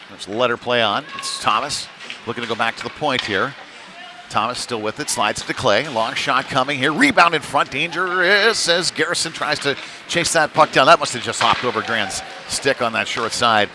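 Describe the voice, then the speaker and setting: A man doing live play-by-play hockey commentary in short clipped phrases, following the action as it happens. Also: mid-range voice. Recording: noisy rink ambience.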